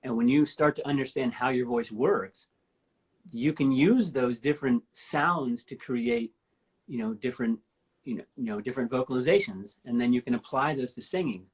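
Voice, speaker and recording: Deep husky woman, enthusiastic talker. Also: normal speed, a little mellow, low-quality recording. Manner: enthusiastic, rambling storyteller. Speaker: host. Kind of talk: casual live-stream chat. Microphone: live stream from home.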